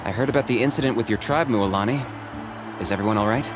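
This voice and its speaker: A man's voice: on the low side, fast talker, lightly acted. A man playing a cool, businesslike young mercenary in video game dialogue, matter-of-fact and reserved, with music behind him. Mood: calm, concerned.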